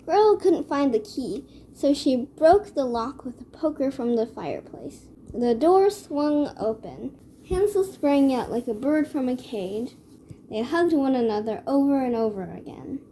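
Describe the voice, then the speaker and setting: Woman, children's story narrator, soft mellow tone, fairly high pitch, measured reading, faint background noise. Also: big pitch swings. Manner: measured read-aloud delivery.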